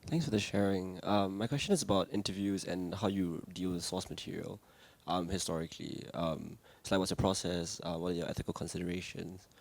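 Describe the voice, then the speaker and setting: A young man from Singapore with a Singaporean accent, asking a halting, multi-part question from the audience at a library talk. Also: low voice, gravelly. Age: young adult. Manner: halting, hedged with fillers, stacks several questions. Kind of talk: question from the floor. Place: library event room with live audience.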